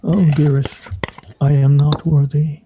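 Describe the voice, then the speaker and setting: An actor playing a pompous, sardonic husband in a radio play, speaking in a wry, theatrical, mock-formal way. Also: male voice, fairly high pitch, flat delivery, unhurried, noisy background. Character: pompous, sardonic husband at a weekly scrabble game.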